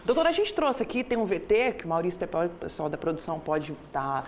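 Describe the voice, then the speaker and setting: A woman from Paraná hosting a Brazilian daytime TV show, chatting warmly with her guest and tagging her questions with "né". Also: on the low side, sing-song, fast, slight background noise. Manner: chatty, enthusiastic, many "né" tags. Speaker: TV show host. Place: TV studio.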